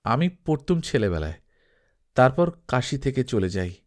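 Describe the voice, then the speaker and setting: A man's voice: medium pitch, sing-song, moderate pace, darkish tone, natural voice. A man playing a nostalgic, slightly awkward middle-aged man in a storybook reading, polite and hesitant. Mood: nostalgic, inquiring.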